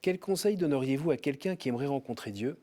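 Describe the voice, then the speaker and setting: A man hosting a TV studio interview, asking short, calm questions and closing the show. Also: medium pitch, quick pace. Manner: calm, brief prompts. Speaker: host. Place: TV studio set.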